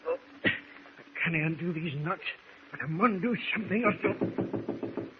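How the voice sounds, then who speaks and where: High-voiced old Scottish sailor, tinny radio. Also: male voice, expressive, measured pace, soft background music. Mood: urgent, alarmed. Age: older. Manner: exclaiming, fussing, repeating himself. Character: old Scottish sailor, earnest, canny and loyal. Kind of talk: 1940s radio adventure acting.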